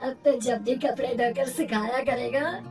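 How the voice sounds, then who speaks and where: Woman doing a vain touchy river witch for a cartoon, real high voice, faint music behind. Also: unhurried, roomy sound, recorded from a distance. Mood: gleeful, scheming. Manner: theatrical exclaiming, swinging between anger and delight. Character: vain, quick-tempered female river witch who steals saris.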